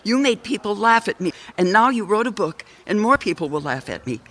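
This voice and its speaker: A woman's voice: medium pitch, big pitch swings, a little fast, fairly bright tone. A woman playing an earnest, insistent secretary to a detective in a radio mystery. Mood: earnest, urgent. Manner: urgent, insistent, precise.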